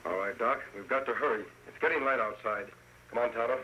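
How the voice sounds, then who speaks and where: An actor playing a masked frontier hero, commanding and decisive, giving crisp orders in a vintage radio western. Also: male voice, mid-range voice, quick pace, lightly acted. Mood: urgent. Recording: hissy old radio recording.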